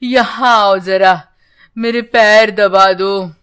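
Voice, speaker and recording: A woman's voice: mid-range voice, fairly even intonation, drawn-out words, fairly bright tone, clear, resonant tone, pitched below the performer's own voice. A woman voicing an ailing, needy village husband in a story, laboured and plaintive. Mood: pained, needy.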